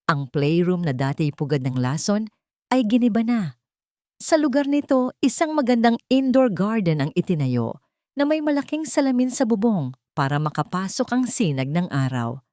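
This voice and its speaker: Lady narrator doing an emotional audio drama, lowish voice, really dry close sound. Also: darkish tone, no echo. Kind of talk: dramatic scripted storytelling narration of a love story.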